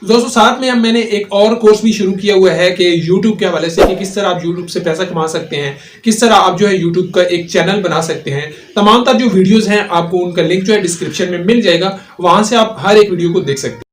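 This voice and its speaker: A man's voice: sits high, a little fast, few pauses, a little hiss or hum. A man with a Pakistani accent hosting a step-by-step phone app tutorial. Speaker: host of a tech tutorial channel. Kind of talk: scripted-style phone app tutorial narration.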